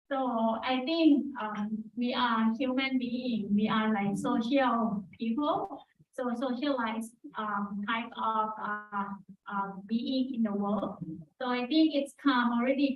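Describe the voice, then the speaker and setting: A woman from Thailand, an exhibition organizer's spokesperson, answering a press question haltingly, with many fillers and pauses. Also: a little high, far from the mic. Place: conference hall. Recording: echoey room, voice from afar, dull sound.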